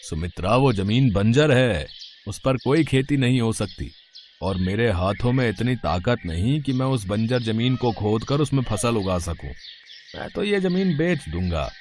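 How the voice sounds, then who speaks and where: Casting: male actor as older village father, tired and resigned, animated tale, slight noise behind the voice. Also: mid-range voice, a little slow.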